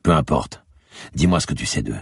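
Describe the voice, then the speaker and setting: A man's voice: extremely low pitch, animated, a little fast, creaky, husky, dry recording, clean studio sound, heavily acted. A man playing a hard-boiled, sarcastic, menacing police commissioner in an audiobook. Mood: hard, insistent.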